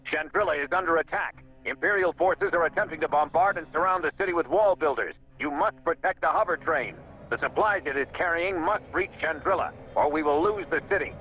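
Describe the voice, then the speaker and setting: A man playing an authoritative rebel general, delivering a formal, measured military briefing in a video game. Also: a little high, bright, forceful tone. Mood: grave briefing. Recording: muffled lo-fi game audio, music behind.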